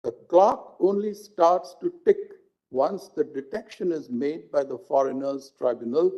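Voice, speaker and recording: An Indian man reads a court judgment in a formal, measured way. His voice is very soft-edged and dark, pitched a little high for a man. The audio is dull and lo-fi, like a live stream. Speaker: judge reading out a judgment. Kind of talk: formal reading of a legal judgment.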